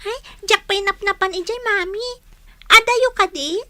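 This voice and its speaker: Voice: extremely high pitch, normal speed, sharp tone, dry recording, heavily acted. A performer playing an innocent, inquisitive small child in a radio drama, asking things in a plaintive, childlike way. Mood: anxious, curious. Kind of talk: Philippine radio drama acting.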